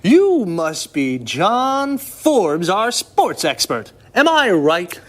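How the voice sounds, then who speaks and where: Man, presenter, friendly and encouraging, lively intonation, slow pace. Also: sits high. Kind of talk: scripted language-lesson presenting.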